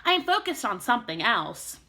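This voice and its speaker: An earnest, preoccupied young schoolboy from a children's storybook, voiced by a woman. The voice is fairly high and bright, with a slightly halting delivery. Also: sing-song, exaggerated voice. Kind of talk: storybook read-aloud.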